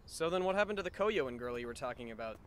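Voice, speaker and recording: A man playing a sardonic, weary male high school student who narrates and talks dryly in an anime fan dub. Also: animated, quick pace, a bit bright, a little hiss or hum, close to an everyday voice. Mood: puzzled, casual. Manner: dry, wry, long-suffering.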